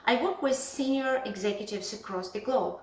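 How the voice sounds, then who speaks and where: Woman, executive coach; measured testimonial recorded in an echoey room with faint noise. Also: on the high side, darkish tone. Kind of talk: video testimonial.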